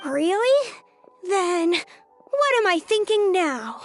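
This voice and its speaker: An innocent little boy in a video game, very high-voiced and slow to get the words out. He sounds wide-eyed and easily flustered, with faint music behind. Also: sing-song, exaggerated voice. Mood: amazed.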